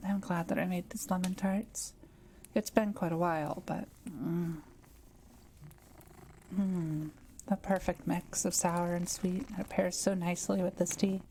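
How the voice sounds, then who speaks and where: A woman playing a kind, shy forest witch in an intimate audio roleplay, speaking gently and a little hesitantly to the listener. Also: lowish voice, fairly even intonation, measured pace, slight background noise, rough recording. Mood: warm, hospitable. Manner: gentle, hesitant, softly teasing.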